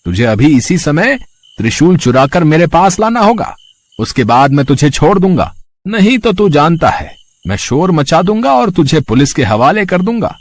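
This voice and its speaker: A man's voice: on the high side, very animated, moderate pace. A man voicing a greedy, showy village merchant with oily, fake-humble politeness in a moral-story cartoon. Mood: menacing, gloating. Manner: oily, showy politeness with self-satisfied chuckles.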